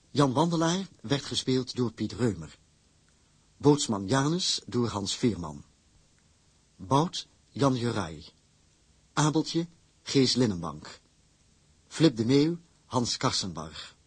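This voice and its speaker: Announcer casting: man, mid-pitched, very husky, unhurried formal credit reading for radio drama, dry studio sound. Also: no room sound. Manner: formal, deliberate.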